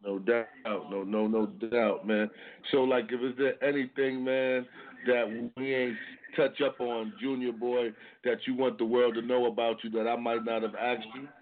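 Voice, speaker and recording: A male radio host asks questions in a relaxed, slangy way, dropping "no doubt" and "you know what I'm saying". His voice sits at an ordinary middle pitch. The sound is narrow and tinny, like a phone call. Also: a little slow, slight background noise. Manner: easygoing, slangy, repeats "no doubt". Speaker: radio interviewer. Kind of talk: casual hip-hop radio interview.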